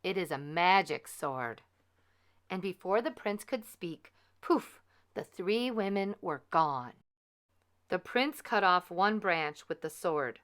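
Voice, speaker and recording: Slow, clear woman reading a storybook. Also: medium pitch, expressive, fairly bright tone. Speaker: storyteller reading a picture book aloud. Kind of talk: expressive scripted children's storybook narration.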